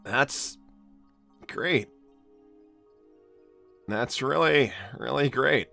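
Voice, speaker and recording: A man's voice: mid-range voice, very slow, creaky, sharp tone, faint music behind, full character voice. A man voicing an anxious, exasperated young cartoon hedgehog hero in an improvised livestream, stammering and repeating himself as he talks. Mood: dejected, worried. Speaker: live improv role-play host. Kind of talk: improvised in-character livestream, reading viewer messages.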